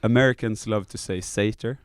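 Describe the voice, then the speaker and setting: A man's voice: fairly low pitch, fairly quick, clean tone. A man from Sweden, a native Swedish speaker, chatting casually and jokily as a musician guest on a studio podcast. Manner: joking, laughs often.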